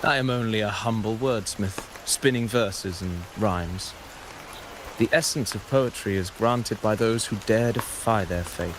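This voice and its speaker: An actor playing a humble male storyteller poet in a video game, speaking in a measured, poetic, declamatory way. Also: on the low side, noisy background, natural voice. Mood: solemn. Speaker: game character. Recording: game audio with loud rain. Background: steady rain.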